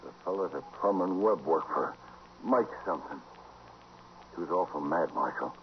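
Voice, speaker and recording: A man's voice: low-pitched, moderate pace, lightly acted. Rattled, plaintive old-West bartender, played by a man. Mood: shaken. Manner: complaining, ungrammatical, rattled. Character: battered, plaintive frontier saloon bartender, male. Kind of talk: 1950s radio western acting. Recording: muffled vintage 1950s radio recording, faint noise.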